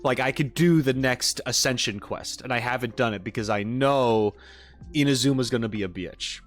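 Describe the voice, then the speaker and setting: A man's voice: mid-range voice, moderate pace. Man, casual livestream host, rambling chat, informal, self-deprecating, mid-range voice, music behind. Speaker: livestream host, game reaction streamer. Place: home streaming setup with green screen.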